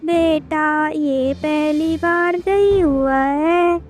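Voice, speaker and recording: Woman voicing a slow, solemn old granny bird. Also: sits high, steady tone, pitched below the performer's own voice. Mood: grave, knowing. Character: very old grandmother bird, grave and knowing. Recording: music behind the voice.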